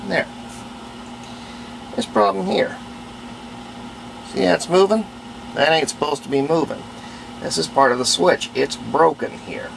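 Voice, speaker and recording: A man's voice: on the low side, gravelly, noisy background. A man giving a casual, unscripted hands-on repair demonstration, plainspoken and thinking aloud as he works. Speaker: host of a radio restoration video.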